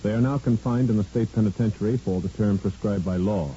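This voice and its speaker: Low, official-sounding vintage radio announcer. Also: male voice, slight background noise. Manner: official, measured. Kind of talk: formal scripted 1950s radio announcement. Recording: dull vintage radio, loud music behind.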